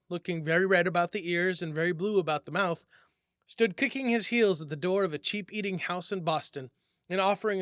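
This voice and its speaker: A man giving an expressive, theatrical storybook narration as a story reader. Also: high-pitched, dry recording, clean studio sound.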